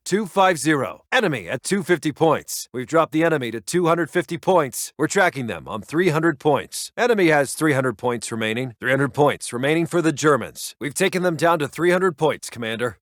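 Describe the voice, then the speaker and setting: Actor as urgent, higher-pitched male army intelligence officer. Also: no room sound, clean studio sound, natural voice. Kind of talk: military game announcer voice lines.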